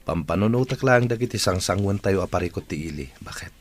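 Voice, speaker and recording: A village man in a radio drama. His voice is low and grave, with a reflective, brooding delivery. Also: animated, fairly quick, slight background noise.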